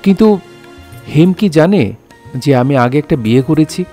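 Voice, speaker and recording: A man's voice: a little high, lively intonation, measured pace, dark tone, soft background music. A man acting an earnest, devoted young doctor and son in an audio drama, with a hesitant, emotional manner. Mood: hesitant, anxious.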